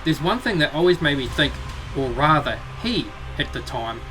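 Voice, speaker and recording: A man's voice: on the high side, lively intonation, moderate pace, music in the background, natural voice, rough recording. A man playing a knowing, sardonic fighting-clan member in a visual novel, talking in a wry, conversational way. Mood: explanatory.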